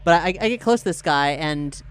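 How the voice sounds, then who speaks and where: A woman playing a tabletop role-playing game, improvising in a playful, halting, casual way. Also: low voice, animated, clean tone. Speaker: tabletop role-play player.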